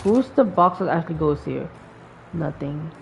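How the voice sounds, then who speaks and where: A woman's voice: low-pitched, lively intonation, normal speed, vocal fry, darkish tone, a little hiss or hum. A woman giving casual gameplay commentary, thinking aloud and often repeating words and phrases. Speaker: gaming streamer. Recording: dull lo-fi mic over game music. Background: faint game music.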